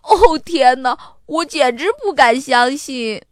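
A woman voicing an excitable, anxious little boy in a children's storybook, exclaiming emphatically. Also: high-pitched, slow pace, no echo, clean studio sound. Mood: overjoyed. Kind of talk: children's storybook character acting.

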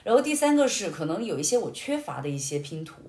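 Expressive low-voiced woman in an echoey room. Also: quick pace. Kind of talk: reflective casual chat. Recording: echoey, roomy sound.

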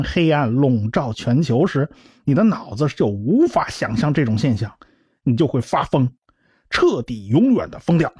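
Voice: mid-range voice, a little mellow, slightly rough. An actor in a radio drama playing an intense, alarmed expert who explains a coming doom with emphatic rhetorical questions. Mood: grave, foreboding.

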